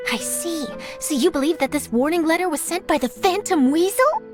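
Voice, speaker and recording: A woman playing an eager, confident young female journalist who tells stories in a brisk, chatty way, over a game score. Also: very high-pitched, expressive. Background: music.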